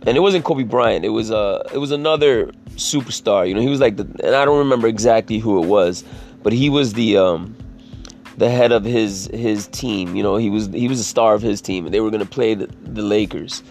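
Raspy, gravelly man rambling casually. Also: lowish voice, brisk, a little mellow, faint music behind. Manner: profane, filler-heavy, restarts sentences.

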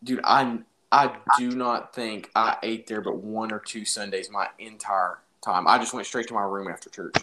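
A man's voice: fairly low pitch, fairly even intonation, normal speed, hardly any pauses, noisy background. A young man casually telling an anecdote in an informal, jokey, exaggerating way. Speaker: storyteller in a podcast-style phone chat.

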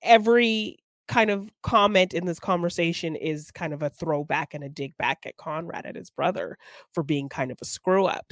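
A woman's voice: deep voice, dry recording, clean studio sound. A woman co-hosting a podcast, discussing film casually and reflectively, often thinking aloud as she goes. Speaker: podcast co-host.

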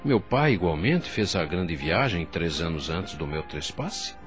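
A man's voice: deep voice, very animated, a little slow, soft background music. An actor playing an earnest, emotional male doctor in the afterlife in a radio drama, pleading in formal diction. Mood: wistful, questioning. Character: earnest newly dead male doctor, longing for his mother.

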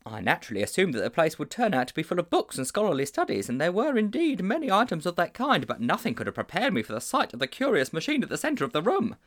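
A man's voice: high voice, fast, hardly any pauses, pitched above the performer's own voice, full character voice. A man voicing an earnest, anxious older schoolteacher who speaks formally and a little fussily. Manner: formal, slightly fussy, animated.

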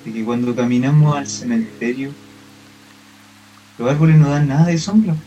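Radio drama role: male actor for curious, thoughtful young man, Chilean accent, warm rounded voice, questioning manner. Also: medium pitch, moderate pace, lo-fi sound, faint music behind, lightly acted. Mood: pensive. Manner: questioning, earnest.

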